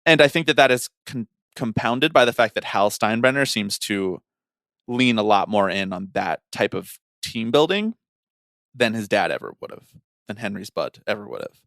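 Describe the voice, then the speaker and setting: A man's voice: on the low side, very animated, normal speed, creaky, fairly bright tone, no room sound, clean studio sound. A man in his twenties co-hosting a casual baseball podcast, laying out analysis in long, reasoned runs with repeated phrasing. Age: 20s. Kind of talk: casual sports talk, analysis.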